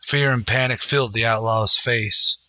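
A man's voice: mid-range voice, fairly even intonation, moderate pace, sharp tone, dry recording, rough recording. A man narrating a western adventure in dramatic, scripted old-time radio style.

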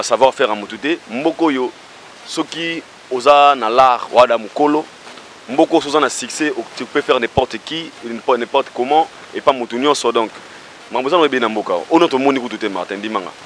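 A man's voice: mid-range voice, moderate pace, fairly bright tone, a little hiss or hum. A man, a talk show guest speaking in a rambling, hesitant way with many fillers, outdoors into a handheld mic. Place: outdoor garden by a wall. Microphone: handheld mic held by host.

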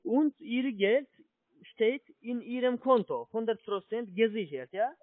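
A man with a foreign accent, not a native German speaker, giving a halting phone sales pitch and often checking he is followed. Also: lively intonation, dry recording. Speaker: telephone sales agent, scammer. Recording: muffled low-fidelity phone line.

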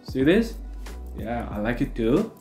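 A man's voice: mid-range voice, very animated, a little slow, darkish tone, roomy sound. A young man with an Indian accent chats through a gadget unboxing in his English. He leans on fillers and restarts as he goes. Faint background music plays under his voice in the small studio room. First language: not English. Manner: chatty, many fillers like "you know". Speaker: tech reviewer. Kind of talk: casual unboxing review. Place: indoor studio room with curtains.

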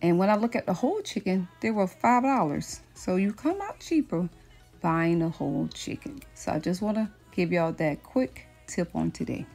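A woman's voice: lowish voice, sing-song, normal speed, creaky, a little mellow, soft background music. A middle-aged woman, chatting casually and folksily to her viewers as a lifestyle vlogger. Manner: folksy, addresses viewers as friends and y'all. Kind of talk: casual motivational chat to viewers. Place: home kitchen, indoors.

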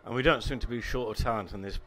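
A man's voice: mid-range voice, quick pace, a bit bright, soft background music. A man with an English accent interviewing guests at a launch, asking chatty, friendly questions.

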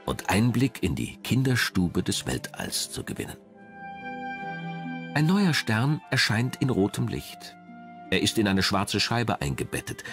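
A man narrates a scripted documentary in a low voice. His intonation rises and falls in a lively way while he explains in a measured manner. Music plays underneath. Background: background music.

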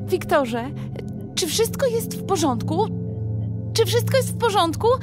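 Elizabeth, very high-voiced anxious sweetheart. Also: female voice, very animated, a little slow, full character voice. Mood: worried, pleading. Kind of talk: radio drama acting, reading a letter. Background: music.

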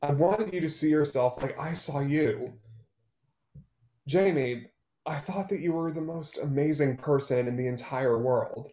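A man's voice: on the high side, unhurried, darkish tone, natural voice. A man in his twenties playing a hurt, guarded, wry young man facing an old love, in a play read over a video call. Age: 20s. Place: room at home. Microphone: computer mic on a video call.

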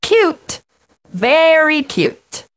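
A woman's voice: high voice, sing-song, very slow, exaggerated voice. A woman voicing an earnest little male animal in a children's learning game, with a precise, fussy manner. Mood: dry. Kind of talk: children's educational game acting.

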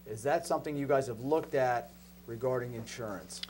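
A man's voice: medium pitch, moderate pace, darkish tone. Male council member, mid-range voice, discussing business in a meeting room with faint background noise.

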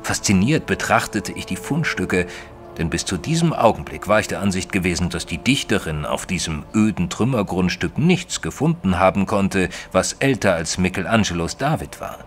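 A deep male voice plays an educated gentleman narrator in an audio drama. He speaks in a formal, literary way, as an observant man who is easily flustered. Soft music sits underneath. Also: animated. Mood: measured narrating. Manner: formal, measured literary storytelling. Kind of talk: scripted first-person audio drama narration.

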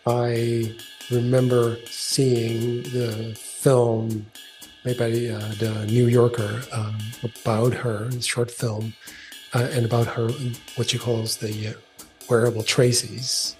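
A man's voice: medium pitch, little pitch movement, slow pace, a little mellow, faint music behind, poor audio. A man from the Netherlands, co-hosting a podcast and speaking hesitantly with many ums. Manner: hesitant, lots of ums and uhs. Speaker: podcast co-host. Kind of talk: casual chat.

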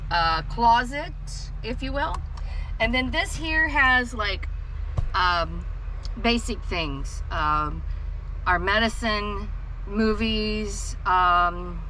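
Bright-voiced older woman touring her camper. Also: mid-range voice, extremely slow, slight background noise, poor audio. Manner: chatty, relaxed, gesturing as she shows things. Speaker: vlog host. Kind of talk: casual camper tour. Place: inside a small camper trailer.

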